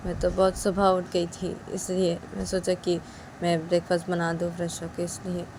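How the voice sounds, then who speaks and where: A woman voicing a meek middle-aged mother-in-law who coaxes and apologizes to calm someone down. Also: on the low side, normal speed, background noise, close to an everyday voice. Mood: placating. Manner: placating, coaxing, apologetic.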